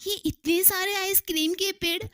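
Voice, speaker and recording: A woman's voice: very high-pitched, steady tone, normal speed, fairly bright tone, dry recording, clean studio sound. A woman voicing a needy, eager little girl in a cartoon story, in a childlike, whiny manner. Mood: excited wonder. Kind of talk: Hindi cartoon story dialogue.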